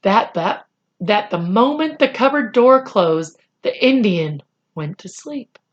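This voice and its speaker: Lady reading a kids chapter book out loud, real slow n expressive, pitch moves around lots. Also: lo-fi sound. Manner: deliberate, animated. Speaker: read-aloud storyteller.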